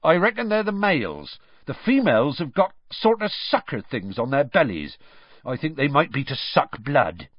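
A man voicing a big, gruff, enthusiastic creature keeper and teacher with rough, folksy speech in an audiobook. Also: mid-range voice, big pitch swings, a little slow, few pauses, no echo, dull audio, voice pushed up. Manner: rough, folksy, dropped words.

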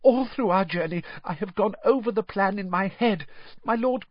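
Cowardly servant, voiced by a man: shrill, stammering, strained, a little muffled. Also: big pitch swings, a little slow, dry recording, exaggerated voice. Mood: pleading. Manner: high-pitched, pleading, stammering. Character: cowardly, panicky male servant.

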